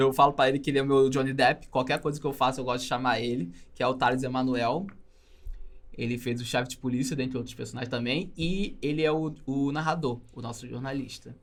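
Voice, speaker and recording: A man's voice: mid-range voice, steady tone, fast. Young Brazilian man, informal and enthusiastic. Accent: Brazilian Portuguese. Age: young adult. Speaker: animator and teacher, interview guest. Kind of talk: casual interview chat.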